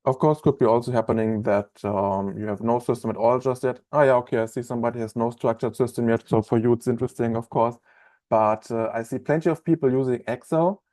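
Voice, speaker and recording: A man in his thirties with a German accent, chatting as a trainer in a live online software webinar, with many fillers and restarts. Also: mid-range voice, steady tone, fairly quick, dry recording. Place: office room, indoors.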